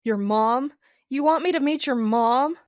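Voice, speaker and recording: A woman's voice: high-pitched, measured pace, a little mellow, no echo. An actress playing a loyal young woman hacker, candid and conversational, a little nervous. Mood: surprised, eager. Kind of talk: audio drama acting.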